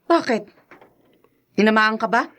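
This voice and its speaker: An actress playing a resentful middle-aged wife who makes cryptic, accusing remarks. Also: female voice, fairly high pitch, animated, measured pace, a bit bright. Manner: pointed, accusing, speaks in riddles. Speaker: wife and mother.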